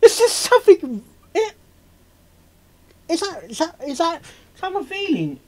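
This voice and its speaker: A man hosting a reaction video, rambling casually and excitably, stammering and repeating himself as he goes. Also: very high-pitched, a little slow. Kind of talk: casual off-the-cuff reaction commentary.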